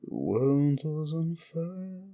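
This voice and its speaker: A man voicing a demon in a comfort ASMR audio drama, soothing a listener with slow, drawn-out, hushed delivery. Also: fairly high pitch, sing-song, pitched above the performer's own voice, exaggerated voice. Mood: mournful, tender. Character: monstrous male demon singing a summoner to sleep.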